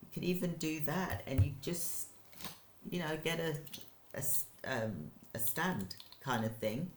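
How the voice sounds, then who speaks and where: An older woman, hosting her own channel and giving an energetic, rambling motivational talk to camera, repeating points for emphasis. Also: low voice, measured pace, a little hiss or hum. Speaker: channel host, business owner. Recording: roomy echo.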